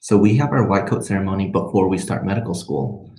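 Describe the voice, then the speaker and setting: A man's voice: deep voice, fast, darkish tone, slight background noise. A man in his twenties, a native Spanish speaker and medical student, chatting casually on a livestream and answering questions in a rambling way, trailing off mid-sentence. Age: 20s. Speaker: medical student and vlogger hosting a live question session. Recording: roomy, echoing sound.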